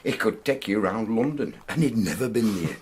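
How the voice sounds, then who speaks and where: An actor playing a chatty, blunt Yorkshire pub landlord in a radio drama, talking in colloquial dialect. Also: male voice, fairly low pitch, expressive, normal speed, darkish tone, breathy edge. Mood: amused, chatty.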